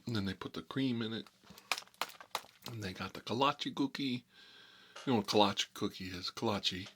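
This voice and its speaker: A man's voice: fairly low pitch, moderate pace, noisy background, lightly acted. A man playing a chatty, boastful American mob boss who rambles and repeats himself in a casual ASMR role-play monologue. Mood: boastful, rambling. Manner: folksy, repetitive, rambling, emphatic. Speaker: ASMR storyteller playing a recurring character.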